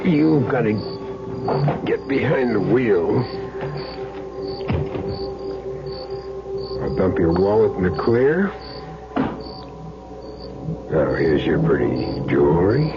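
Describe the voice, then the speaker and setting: Low-voiced, cool hit man. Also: full character voice. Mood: wry, methodical. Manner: terse, cool, sardonic. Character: calm, hard-boiled male hit man with wry streak. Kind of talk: old-time radio crime drama acting. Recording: noisy muffled old radio recording.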